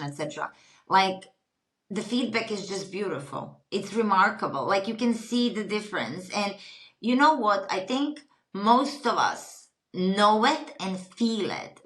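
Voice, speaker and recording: A woman's voice: lowish voice, fairly bright tone, poor audio. A middle-aged woman from Croatia, whose first language is Croatian, speaking earnestly as a podcast guest and often restarting as she searches for words. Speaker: guest, retreat company founder. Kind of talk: casual interview conversation.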